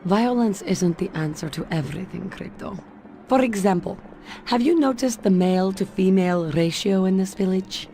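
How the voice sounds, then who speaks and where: A woman's voice: mid-range voice, normal speed, mellow, a little hiss or hum, close to an everyday voice. A woman voicing a capable Russian secret agent in a video game, with a Russian accent and a composed, teasing manner. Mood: sly, teasing. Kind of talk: video game cutscene acting.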